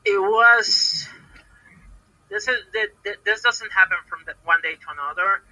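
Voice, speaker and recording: Halting Puerto Rican woman, bright tense voice. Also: medium pitch, rough recording. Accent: Puerto Rican Spanish. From Puerto Rico. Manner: hesitant, halting, many repeated words and fillers. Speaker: professional wrestler, podcast guest. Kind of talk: casual interview answers.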